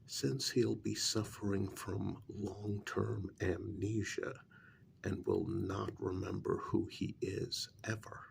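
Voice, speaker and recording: A man playing a calm, calculating vampire clan member in a soft-spoken ASMR role-play, speaking in a measured, hushed way with deliberate pauses. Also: on the low side, gravelly, a little hiss or hum, heavily acted. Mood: calm, scheming.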